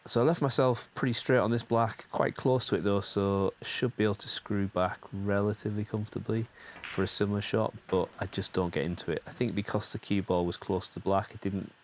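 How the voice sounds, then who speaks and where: A man's voice: on the low side, steady tone, brisk. A man hosting his channel, giving casual, rambling voice-over commentary with frequent hesitations, his voice still a little stuffed up and tired from a cold. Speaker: channel host.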